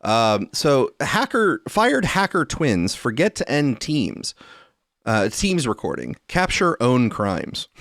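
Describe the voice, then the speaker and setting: A man's voice: mid-range voice, wildly swooping pitch, slow pace, a bit bright, no echo, studio quality. A man hosting a podcast, chatting and reading news stories aloud. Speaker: podcast host.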